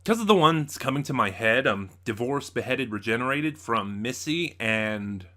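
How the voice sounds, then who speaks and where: Man, medium pitch, bright crisp-edged tone, casual review host, rambling, self-correcting. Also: animated, normal speed. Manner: rambling, over-explaining, with asides and self-corrections. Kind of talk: casual unscripted review talk to camera.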